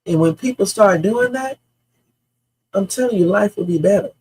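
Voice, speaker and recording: A woman's voice: mid-range voice, normal speed, a little mellow, slightly rough. A woman in her fifties hosting a livestream, chatting in a blunt, salty, meandering way that mixes stories with life advice. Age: 50s. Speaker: livestream host. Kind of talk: rambling casual livestream chat.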